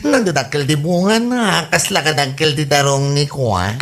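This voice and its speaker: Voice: mid-range voice, expressive, normal speed, close to an everyday voice. A performer with an Ilocano accent playing a gossipy, bantering village woman in a Filipino comedy audio drama, exclamatory and teasing.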